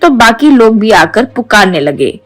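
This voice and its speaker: A woman's voice: a little high, sing-song, unhurried, soft background music. A woman reading a scripted children's story as its narrator.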